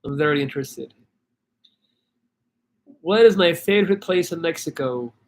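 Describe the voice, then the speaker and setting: A man's voice: fairly high pitch, moderate pace. A man from Belgium chatting casually as a stream host, rambling with many restarts and fillers while answering viewer questions. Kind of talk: casual chat reading comments.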